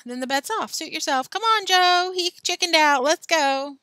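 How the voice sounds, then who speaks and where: A woman's voice: sits high, sing-song, moderate pace, pushed and bright, dry recording, voice pushed up, heavily acted. A woman voicing a pushy, impatient schoolboy schemer who goads his friends, in a storybook reading. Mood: goading. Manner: impatient, goading.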